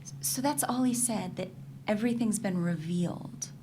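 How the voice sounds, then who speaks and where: A woman's voice: medium pitch, normal speed, dark tone, a little hiss or hum, close to an everyday voice. An actress reading the part of a candid, skeptical girlfriend in a staged reading of a novel, in a conversational, questioning way. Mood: skeptical, curious. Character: candid, curious, skeptical girlfriend probing her lover's secrets.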